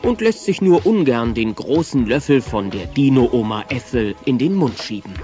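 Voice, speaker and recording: A man narrating a German children's audio drama in a mellow, scripted storybook style. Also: medium pitch, animated, moderate pace, lightly acted. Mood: storytelling. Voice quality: mellow, warm. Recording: dull cassette recording, faint music behind.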